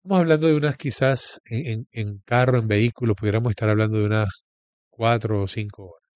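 A man's voice: fairly low pitch, fast talker, a little mellow, no echo. A man from Venezuela, a guest pastor giving his testimony in an interview in an earnest, preacherly storytelling way.

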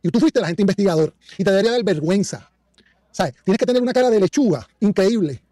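Confrontational man with a notably high voice. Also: lively intonation, fast talker, warm tone, no echo, studio quality. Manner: accusatory and confrontational. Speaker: commentator in a played clip.

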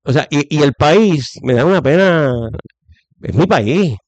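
A Puerto Rican man with a Puerto Rican accent, giving forceful opinions as a guest, with lots of fillers and restarts. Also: on the high side, animated, measured pace, dry recording, muffled recording. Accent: Puerto Rican Spanish. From Puerto Rico. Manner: emphatic, many fillers and restarts. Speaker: guest commentator. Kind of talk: opinionated conversational commentary.

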